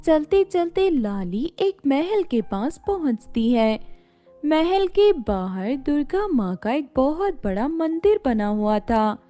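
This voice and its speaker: A woman's voice: high voice, big pitch swings, slow pace, mellow, soft background music. A woman storyteller reading a scripted fairy-tale narration.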